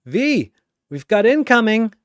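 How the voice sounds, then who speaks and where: A man voicing a brash, excitable young male fighter, yelling cockily in a battle story. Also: high voice, unhurried, clean tone, no room sound, studio quality, voice pushed up. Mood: excited. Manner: yelling, cocky.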